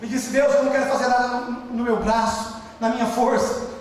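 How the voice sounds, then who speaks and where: A man with a Brazilian Portuguese accent preaching a sermon as pastor, often shouting for emphasis. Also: extremely high pitch, flat delivery, fairly quick. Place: large church hall. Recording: echoey church hall, distant mic.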